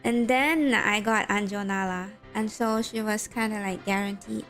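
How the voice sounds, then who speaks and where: A woman's voice: mid-range voice, fairly even intonation, moderate pace, fairly bright tone, faint music behind. A young woman with a Malaysian accent, streaming a game and chatting casually with her viewers while reading game text aloud.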